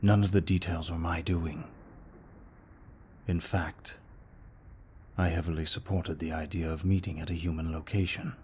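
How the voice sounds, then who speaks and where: A man playing a courteous, protective young vampire aristocrat in an ASMR audio roleplay, speaking formally in a hushed, measured way. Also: low-pitched, little pitch movement, a little hiss or hum, natural voice. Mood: apologetic, courteous. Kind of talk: intimate ASMR roleplay acting.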